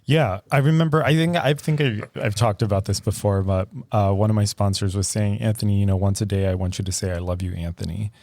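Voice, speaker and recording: Low-voiced, earnest podcast co-host man. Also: steady tone, fairly quick, hardly any pauses, a little mellow, no room sound. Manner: thoughtful, earnest, hesitant with many ums. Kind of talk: casual chat.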